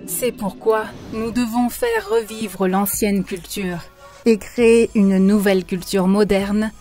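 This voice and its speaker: An actress playing a wise spiritual teacher who narrates and preaches earnestly in an animated film. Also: female voice, mid-range voice, takes it slowly, soft background music, natural voice. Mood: earnest, preachy. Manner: earnest, preachy, addresses listeners as darlings.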